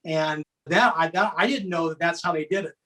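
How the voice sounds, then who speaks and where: A woman's voice: on the low side, fairly quick, fairly bright tone. A woman explaining behind-the-scenes details in casual conversation, often checking in as she goes. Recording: distant from the microphone.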